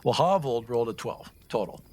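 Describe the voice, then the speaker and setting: A man's voice: mid-range voice, animated, a little fast, darkish tone. A man playing a tabletop game, chatting casually in a jokey, self-deprecating and profane way.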